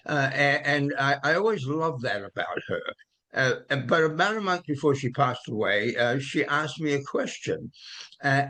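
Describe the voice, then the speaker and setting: A man in his eighties, born in London and raised in Australia, with an Australian accent, gently telling personal stories as an interview guest with many hesitant fillers. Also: fairly high pitch. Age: 80s. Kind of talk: reflective conversational storytelling.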